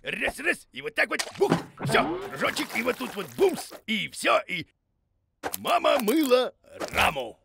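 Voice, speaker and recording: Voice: medium pitch, big pitch swings, measured pace, creaky, noisy background, heavily acted. An actor voicing a grumpy, boastful bearded male creature who teaches in a children's cartoon, blustering and grumbling as he goes. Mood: breezy, impatient.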